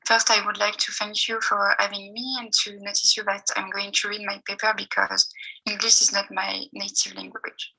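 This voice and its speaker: Bright-voiced woman reading a paper. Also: mid-range voice, level tone, gravelly, breathy edge, poor audio. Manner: careful, measured reading with pauses between phrases. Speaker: PhD student presenter. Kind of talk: reading a seminar paper aloud.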